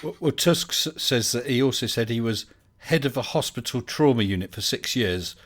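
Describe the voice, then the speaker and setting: A man's voice: medium pitch, expressive, normal speed. Blunt, laughing man hosting a stream. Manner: laughs often, mocking and blunt. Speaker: host. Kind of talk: live online chat stream.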